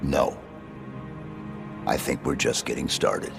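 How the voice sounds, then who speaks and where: Extremely deep gravelly stoic supersoldier. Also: male voice, takes it slowly, exaggerated voice. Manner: terse, deadpan. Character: stoic armored male supersoldier, terse and battle-hardened. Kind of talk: cinematic video game acting. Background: loud music.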